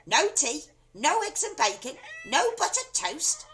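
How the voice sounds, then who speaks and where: A woman voicing a huge, bad-tempered old grandmother who scolds and shouts at her family, in a storybook reading. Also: high voice, wildly swooping pitch, a little slow, slight background noise, voice pushed up, full character voice. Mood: furious scolding.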